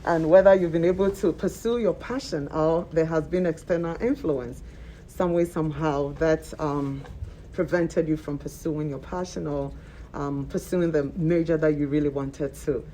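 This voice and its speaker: A woman's voice: deep voice, steady tone, moderate pace, warm tone, slight background noise, poor audio. A woman hosting a panel talk show, talking with animation and repeating words for emphasis.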